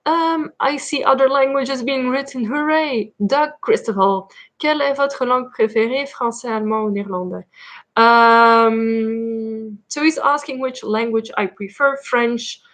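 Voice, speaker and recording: Flemish girl in her 20s rambling through viewer questions on a laptop mic, lots of ums, a bit high. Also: unhurried, fairly bright tone. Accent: Flemish Dutch. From Belgium. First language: Dutch. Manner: chatty, many ums and likes. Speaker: livestream host. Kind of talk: casual Q&A livestream.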